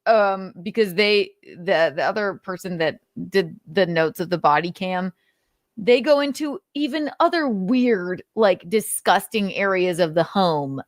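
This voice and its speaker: A woman's voice: mid-range voice, expressive, measured pace, no echo, studio quality. A woman host giving commentary while reading aloud, sardonic and exasperated, with casual swearing. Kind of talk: commentary mixed with dramatic reading.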